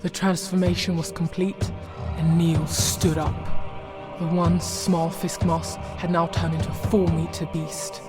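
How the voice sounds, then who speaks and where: Female storybook narrator, low-pitched and measured, over prominent music. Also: little pitch movement. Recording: loud music behind.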